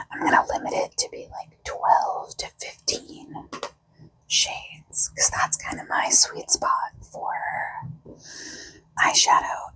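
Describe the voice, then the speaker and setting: A woman who has lost her voice to a cold, talking casually in a breathy, husky whisper as she thinks aloud while hosting a hobby vlog. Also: pushed and bright, low-quality recording. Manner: informal, unhurried, musing. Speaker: host of a makeup video.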